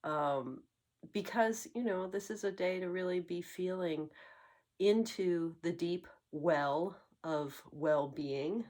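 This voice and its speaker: A woman hosting a tarot channel, getting over a cold and slightly congested, speaking in a reflective, musing way with frequent pauses and fillers. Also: fairly low pitch, darkish tone. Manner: musing, searching for words, many fillers. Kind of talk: reflective spoken monologue.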